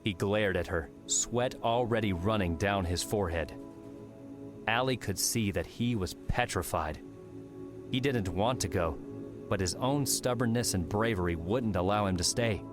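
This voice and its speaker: Low-voiced male audiobook narrator. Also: unhurried, music in the background. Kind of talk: scripted dramatic story narration.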